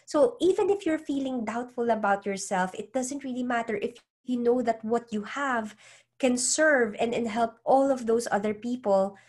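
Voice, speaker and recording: A woman's voice: fairly high pitch, darkish tone. A woman for whom English is a second language, a psychologist and author coach giving advice as an interview guest, earnest and often repeating words as she finds her phrasing. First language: not English.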